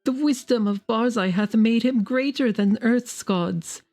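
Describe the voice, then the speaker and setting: Woman playing fanatical proud prophet, shrill, high-pitched, grandiose archaic shouting, audiobook drama, music behind. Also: unhurried. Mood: exultant, boastful. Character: Barzai, proud fanatical male prophet, exultant, wildly shouting.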